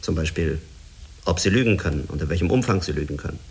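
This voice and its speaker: An actor in a German radio drama playing a skeptical, authoritative spaceflight commander, questioning in a clipped manner. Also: male voice, deep voice, fast talker. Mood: probing, skeptical. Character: hard, skeptical male spaceflight commander, probing and curt.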